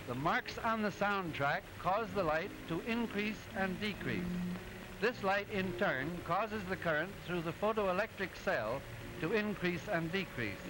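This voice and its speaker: A man's voice: sits high, background noise. A man playing a genial professor who narrates an educational 1920s cartoon in a didactic, precise way. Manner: didactic, precise, measured explaining. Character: genial male professor explaining machines in a cartoon. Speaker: narrator, lecturer. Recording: old 1920s film soundtrack, music behind.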